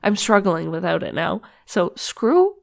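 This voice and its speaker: A woman's voice: fairly low pitch, sing-song, unhurried, vocal fry. A woman in her twenties from Ontario, Canada, co-hosting a podcast in casual chat, her nose stuffed up, telling stories with lots of "like". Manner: chatty storyteller, frequent "like". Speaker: podcast co-host.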